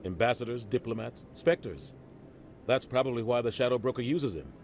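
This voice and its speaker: An actor voicing a seasoned, pragmatic military officer in a video game, explaining with measured authority. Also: male voice, medium pitch, lively intonation, a little mellow, slight background noise, close to an everyday voice. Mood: matter-of-fact. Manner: matter-of-fact, authoritative explaining.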